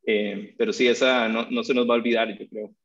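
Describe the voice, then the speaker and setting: Mid-pitched man on muffled call audio. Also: flat delivery, a little fast, fairly bright tone. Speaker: investor, panelist. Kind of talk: casual unscripted panel talk. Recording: dull video-call audio.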